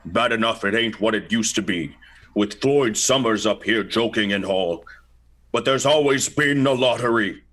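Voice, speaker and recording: A man's voice: fairly low pitch, normal speed, a bit bright. A man playing a stubborn, folksy old village grandsire with a grumbling rustic drawl in an amateur radio play. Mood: scornful, grumbling.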